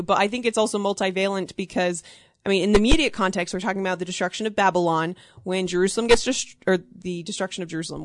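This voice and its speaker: A woman leading a casual group study discussion, steering the talk in an organized way and speaking in quick bursts. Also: mid-range voice, no echo. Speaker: discussion leader.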